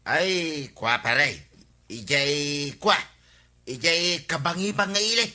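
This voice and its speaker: Sharp, piercing Ilocano village schemer. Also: male voice, a little high, lively intonation, slow, full character voice. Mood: evasive. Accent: Filipino, Ilocano. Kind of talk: radio comedy drama acting.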